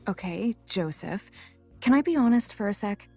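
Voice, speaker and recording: A woman's voice: mid-range voice, animated, moderate pace, vocal fry, mellow, slightly rough, no echo, soft background music. An actress playing a teenage girl who has just lost her sight, stubborn and sarcastic. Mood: wry. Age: teens. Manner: sarcastic, restless. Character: teen girl newly blinded, stubborn.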